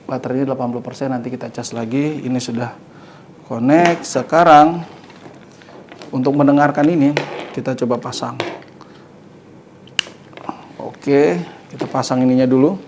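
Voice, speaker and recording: A man's voice: medium pitch, normal speed, pauses often, darkish tone, slight background noise. A man hosting a casual hands-on motorcycle gear review, informally thinking aloud as he demonstrates. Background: music.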